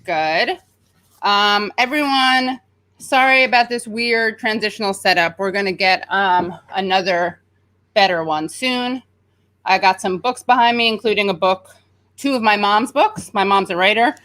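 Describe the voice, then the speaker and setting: A woman hosts a loose livestream from home, talking in a chatty, rambling way full of fillers and self-mockery. Her voice has a slight nasal edge, and her pitch sits mid-range. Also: a little slow, a bit bright. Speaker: show host. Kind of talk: casual livestream banter.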